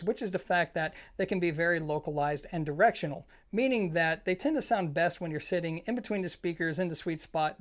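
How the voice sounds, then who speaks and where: A video host presenting an audio gear review, explaining things informally and directly to viewers. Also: medium pitch. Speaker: product reviewer and show host.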